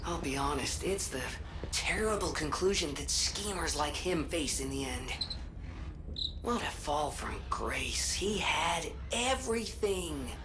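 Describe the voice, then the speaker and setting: A grave, pitying video game companion speaks very slowly in a lowish, hushed voice. Insects and ambient noise sit clearly behind the measured words. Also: female voice, lively intonation. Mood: sombre pity. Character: grave, pitying female companion commenting on downfall. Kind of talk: video game character acting.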